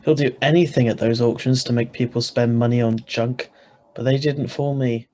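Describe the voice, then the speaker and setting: A man acts out a skeptical husband in a radio suspense play, with a mid-pitched voice. He is wry and sardonic, narrating like someone whose patience is wearing thin. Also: fairly even intonation, moderate pace, warm tone, lightly acted. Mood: wry narration. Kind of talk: radio drama script reading. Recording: live video-call recording.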